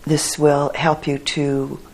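A woman leading a guided meditation for a group as their teacher, speaking in a calm, measured way with long pauses. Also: low-pitched, poor audio.

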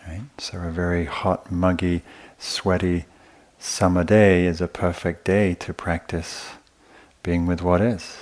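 A man teaching meditation in a gentle, unhurried way, often pausing between short phrases as he gives a guided talk at a retreat. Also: low voice, flat delivery.

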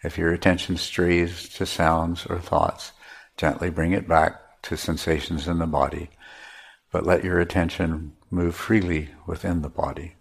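A man teaching meditation, giving measured guided instructions with pauses between phrases. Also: deep voice, little pitch movement, creaky, slightly rough.

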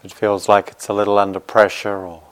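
A man with a fairly low, very smooth voice leads a guided meditation. He speaks gently, in short phrases with plenty of space between them. His manner is reassuring, as a teacher settling students into practice. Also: little pitch movement, fairly quick, low-quality recording.

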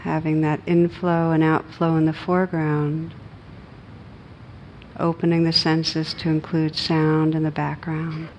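A woman meditation teacher leading a guided meditation, giving gentle, unhurried instructions with long pauses. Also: lo-fi sound. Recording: steady background noise with low rumble.

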